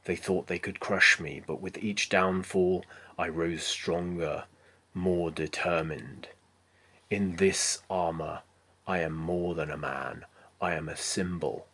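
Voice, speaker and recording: A battle-worn knight in armor, acted out by a man, defiant against demons in a solemn film monologue. The voice is very deep and husky, slow and grandiose, with forceful intensity and breathy phrase endings. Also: fairly even intonation, full character voice. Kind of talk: dramatic film audition monologue.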